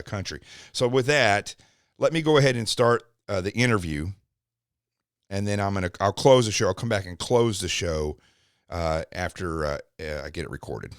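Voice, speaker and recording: A man with an American accent hosting a podcast, talking in a casual, rambling way with restarts and quick asides. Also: on the low side, a bit bright, smooth, dry recording, studio quality. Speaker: podcast host.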